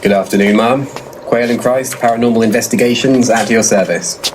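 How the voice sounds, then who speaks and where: An actor playing a pompous, irritable and shabby paranormal investigator in a comic audio drama. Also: male voice, mid-range voice, fairly even intonation, a little fast, a little hiss or hum, close to an everyday voice, rough recording. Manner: pompous, grumbling.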